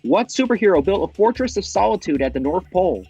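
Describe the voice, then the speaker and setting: A man with a high voice runs a quiz game, reading each question crisply and calling answers correct or not. His delivery is brisk and formal, with light music playing faintly underneath. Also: sing-song, warm tone, dull audio. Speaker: quiz show host.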